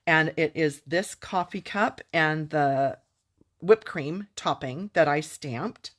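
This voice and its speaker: A woman's voice: low voice, slow pace. A woman chatting upbeat through a live-streamed craft tutorial, thanking viewers and answering comments as she works. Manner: upbeat and enthusiastic. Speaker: craft demonstrator.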